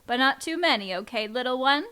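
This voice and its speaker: A woman voicing a cheerful grown goose in an audiobook, gently amused and reassuring. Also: high voice, animated, brisk, clear, resonant tone.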